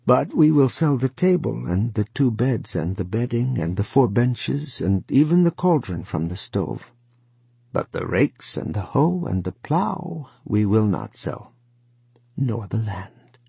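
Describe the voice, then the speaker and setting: A man voicing a calm peasant wife who speaks flat and matter-of-fact. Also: medium pitch, measured pace, gravelly, breathy edge, no room sound, exaggerated voice.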